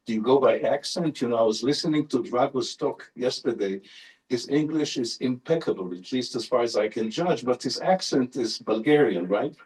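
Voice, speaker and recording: A man's voice: medium pitch, expressive, normal speed, darkish tone, low-quality recording. A man, a professor, answering audience questions in an unscripted academic talk with wry rhetorical questions and emphatic repetition.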